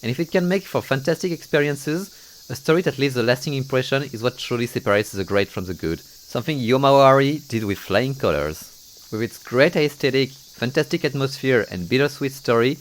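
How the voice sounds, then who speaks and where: A man's voice: medium pitch, lively intonation, a little fast, a little hiss or hum, rough recording. A man narrating a horror game playthrough from a script, moving between dramatic storytelling and wry, exasperated asides. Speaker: video game reviewer and narrator.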